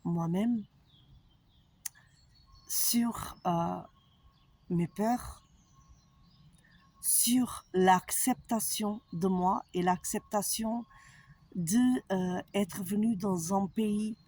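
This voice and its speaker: A woman with a foreign accent, a holistic therapist talking to her followers in a slow, halting way with many pauses and fillers. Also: mid-range voice, dark tone, husky. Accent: foreign accent in French. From abroad, not France. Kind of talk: personal monologue to followers.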